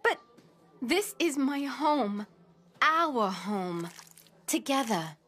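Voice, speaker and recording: A woman's voice: high voice, big pitch swings, drawn-out words, a bit bright, faint music behind, heavily acted. A woman playing a heartfelt, loyal teenage monster girl in a children's cartoon, speaking earnestly. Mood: hurt, pleading. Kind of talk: animated children's cartoon acting.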